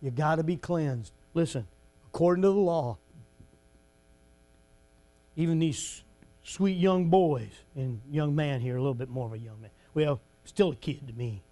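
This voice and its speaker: A man preaching a folksy sermon to a congregation, joking and laughing between lines and breaking into shouts at his high points. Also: measured pace, long pauses.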